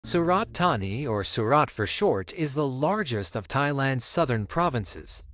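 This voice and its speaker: A man's voice: medium pitch, expressive, measured pace, a bit bright, no echo. A man reading scripted narration for a video compilation.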